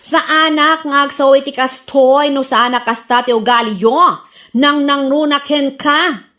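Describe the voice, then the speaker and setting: A woman acting a feisty, scolding character in an Ilocano radio comedy drama, brash and taunting, with drawn-out words and an Ilocano accent. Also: sits high, fairly even intonation, fairly bright tone. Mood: angry scolding. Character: feisty, scolding Filipino who picks fights unafraid.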